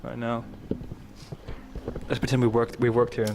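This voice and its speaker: Chatty streamer walking outdoors with noise behind. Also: male voice, mid-range voice, monotone, moderate pace, vocal fry. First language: not Swedish. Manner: chatty, slangy, talks to chat. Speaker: livestreamer. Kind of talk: casual live-stream chat while walking. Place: outdoors by the water, wind and rain.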